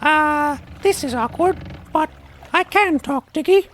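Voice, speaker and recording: A woman's voice: sits high, animated, slow pace, a little hiss or hum. A woman voicing a timid, gossipy talking owl with rustic, ungrammatical speech in a fantasy audio drama. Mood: sheepish, hesitant.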